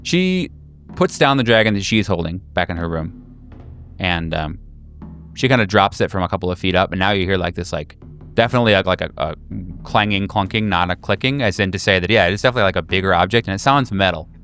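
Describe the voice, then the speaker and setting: A man working as game master, improvising tabletop horror narration in a halting, conversational, self-correcting way. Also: low-pitched, expressive, a bit bright, faint music behind.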